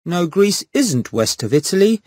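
A man reading textbook answers slowly and clearly. His voice rises and falls a lot. The studio sound is dry. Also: high-pitched, very animated, a little mellow, dry recording. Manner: slow, clear enunciation. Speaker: recorded model speaker.

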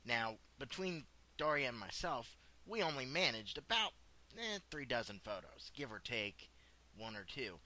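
A man's voice: medium pitch, very animated, measured pace, bright, forceful tone. A man giving a conversational voice-over for a photo slideshow. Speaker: vlog host narrating.

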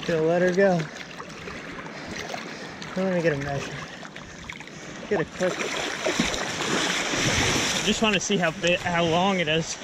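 A man's voice: high voice, measured pace, long pauses. A young man in his twenties narrating his fishing trip on an ocean beach, talking into an action camera, with surf and wind behind him. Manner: excitable, shouting when hooking fish. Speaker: fishing vlogger. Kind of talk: excited fishing vlog commentary. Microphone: action camera, head-mounted then handheld.

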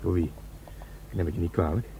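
Easygoing cop in radio drama, soft dark low voice, bit of background noise. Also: male voice, fairly quick, creaky, poor audio. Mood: gentle. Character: easygoing, plainspoken Dutch policeman, gently tolerant. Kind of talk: Dutch radio drama acting.

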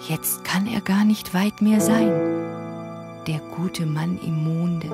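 Radio play princess: young, innocent, wistful, unhurried, music behind. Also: female voice, medium pitch.

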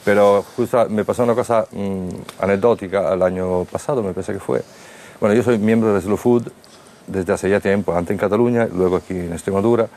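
Deep-voiced middle-aged man. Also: fairly quick. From Italy. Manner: animated storyteller acting out quoted dialogue. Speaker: restaurateur guest. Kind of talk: studio panel discussion. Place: TV studio set. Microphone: lapel mic.

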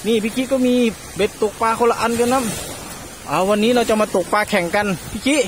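A man hosting a casual vlog on a beach, giving animated, exclamatory play-along commentary. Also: very high voice, fairly quick. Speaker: vlog host. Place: outdoors on a sandy seashore. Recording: noisy outdoor recording.

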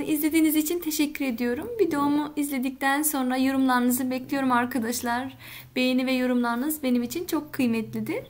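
A middle-aged woman hosting a home cooking channel, calmly talking viewers through a recipe step by step and addressing them warmly as friends. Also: high-pitched, slight background noise. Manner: slow, careful instructions. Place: home kitchen counter.

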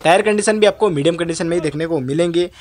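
Brisk high-voiced man touring a car lot. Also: darkish tone. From India. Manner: brisk, repetitive salesman patter. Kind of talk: off-camera walkaround commentary. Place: outdoor used car yard under a shed.

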